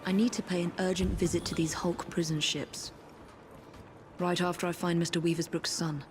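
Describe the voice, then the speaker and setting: A woman's voice: fairly low pitch, little pitch movement, normal speed, over music, close to an everyday voice. An actress playing a resolute, composed woman detective hunting a killer in a video game drama. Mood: composed resolve. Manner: composed, determined.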